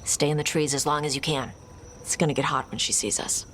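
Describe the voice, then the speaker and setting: Female dragon hunter, low voice, terse, matter-of-fact, dry, game dialogue, faint background noise. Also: a little fast, a bit bright. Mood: commanding.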